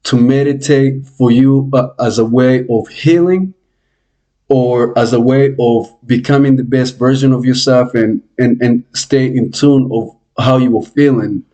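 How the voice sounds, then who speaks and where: A man hosting a podcast, asking relaxed questions and often repeating words as he searches for what to ask. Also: mid-range voice, measured pace, highs cut off. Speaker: podcast host. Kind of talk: casual interview questions.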